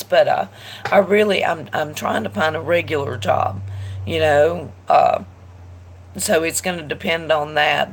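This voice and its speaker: A woman's voice: deep voice, vocal fry, a little hiss or hum. A woman, stuffed up from allergies, chatting casually with viewers on a tarot live stream. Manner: chatty, rambling, greets viewers by name. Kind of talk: casual live-stream chat reading comments aloud.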